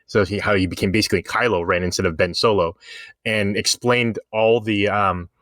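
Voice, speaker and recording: A man's voice: fairly low pitch, fairly even intonation, normal speed, fairly bright tone, no room sound. A man in a casual, rambling fan discussion, explaining things at length as a podcast co-host.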